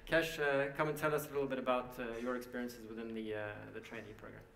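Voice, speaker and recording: A man giving a conference presentation for his company, speaking haltingly with many fillers and restarts. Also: medium pitch, little pitch movement, gravelly, fairly bright tone, a little hiss or hum. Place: conference hall. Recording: echoey hall, mic at a distance.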